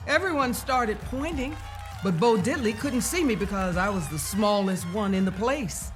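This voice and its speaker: A woman reading a children's story aloud in the first person, with deliberate, expressive storybook delivery. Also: mid-range voice. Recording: music playing behind the voice. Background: music.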